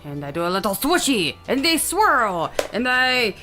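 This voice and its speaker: A woman chatting casually as a tabletop game player, playful and quick to exclaim. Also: fairly high pitch, big pitch swings, sharp tone, soft background music.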